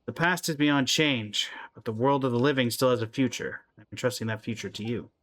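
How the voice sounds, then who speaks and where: A man reading aloud the lines of an ancient, gentle, regretful woman guardian in a video game, in a calm, measured way. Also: medium pitch, close to an everyday voice. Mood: solemn.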